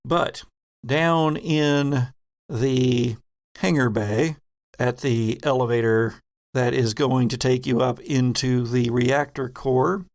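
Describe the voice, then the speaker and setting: A man narrating a tabletop horror game as game master, in halting, suspenseful phrases. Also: mid-range voice, fairly even intonation.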